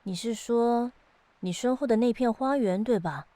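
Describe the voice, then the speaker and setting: A woman's voice: a little high, moderate pace, mellow, dry recording, close to an everyday voice. A woman playing a mysterious ancient being of the lake in an audio drama, casual and teasing with a wistful side. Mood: quiet, wistful. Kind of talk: audio drama dialogue acting. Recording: studio voice over background music.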